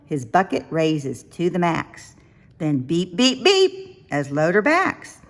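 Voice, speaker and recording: A woman reading a rhyming picture book aloud for young children, with expressive, sing-song, deliberate phrasing. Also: on the low side. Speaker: children's storybook reader.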